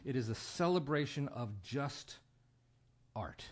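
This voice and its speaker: A middle-aged American man talking conversationally as an interview guest, reflective and pausing as he goes. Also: medium pitch, animated. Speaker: guest singer-songwriter and radio show host.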